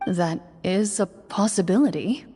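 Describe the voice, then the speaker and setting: A woman playing a composed young female duelist in a video game, speaking formally and deliberately as a game master. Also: mid-range voice, warm tone, big-room sound, slight background noise, natural voice. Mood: matter-of-fact. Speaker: game master narrating a tabletop story. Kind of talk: measured dramatic game voice acting.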